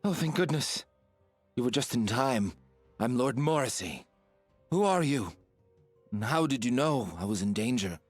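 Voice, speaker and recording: An actor playing an anxious, haunted lord of an estate in dramatic game dialogue, fretful and theatrical. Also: male voice, medium pitch, animated, unhurried, long pauses, faint music behind, lightly acted. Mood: relieved, anxious.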